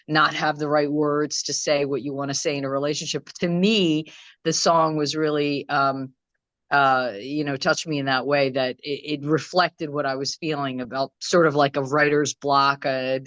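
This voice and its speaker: Low-voiced woman musician, rambling thoughtfully. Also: no echo. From Croatia. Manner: thoughtful, rambling with many ums and restarts. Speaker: musician guest. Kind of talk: casual interview chat. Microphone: video call.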